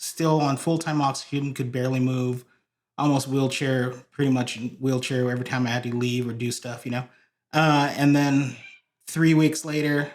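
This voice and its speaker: A man from Hawaii, a guest on a podcast, telling stories in a rambling way with many fillers and restarts. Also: mid-range voice. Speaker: podcast guest, church video director. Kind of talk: casual interview chat.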